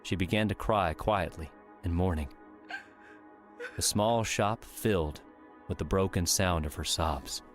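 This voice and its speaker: A man's voice: low-pitched, measured pace, long pauses. A man narrating a scripted audiobook story, with music playing underneath.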